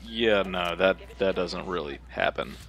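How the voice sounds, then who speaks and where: A man's voice: low-pitched, animated, a little slow, a crowd in the background. A man co-commentating on a video game in casual, joking, reactive chat. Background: faint video game sounds.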